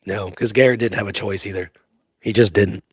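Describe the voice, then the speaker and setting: A man's voice: on the low side, fairly even intonation, a little fast, breathy edge, no echo. A man hosting a history discussion, talking informally with emphatic opinions. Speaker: host of a history show.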